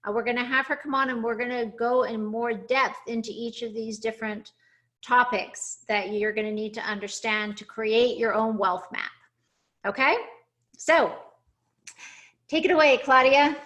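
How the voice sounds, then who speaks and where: A woman's voice: fairly high pitch, fairly even intonation, moderate pace, a bit bright. A woman hosting a webinar, introducing her guest in an upbeat, effusive way over a video call. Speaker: webinar host.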